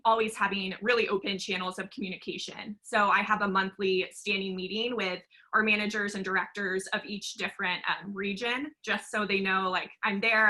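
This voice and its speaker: A woman's voice: mid-range voice, bright, forceful tone, poor audio. A woman on a career panel, speaking earnestly and laying out her points in an organized way. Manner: earnest, organized, explains in steps. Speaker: panelist, sales enablement lead. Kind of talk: casual panel discussion.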